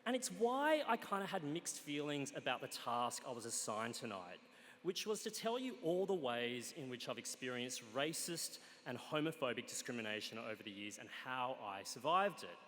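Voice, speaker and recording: Australian man, wry stage storyteller with deadpan punchlines, animated and fairly high, in a reverberant live hall. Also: moderate pace. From Australia, Sunshine Coast, Queensland. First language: Cantonese. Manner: wry and playful, with deadpan punchlines and crude jokes. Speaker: guest storyteller. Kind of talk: comic personal storytelling on stage.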